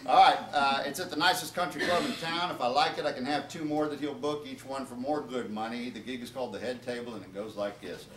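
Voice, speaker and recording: Male author, mid-pitched, comedic live reading with informal asides; echoey room recording with clear background noise. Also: fairly even intonation, fast talker, few pauses. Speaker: author reading from his own book. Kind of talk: comedic storytelling, reading aloud to an audience. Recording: roomy, distant, noisy live event recording.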